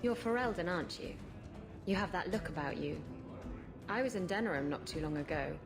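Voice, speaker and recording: An actress playing a brazen, flirtatious pirate captain in a fantasy video game, with a sultry, teasing manner. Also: female voice, on the low side, lively intonation, fairly quick, over music. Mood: teasing, bold.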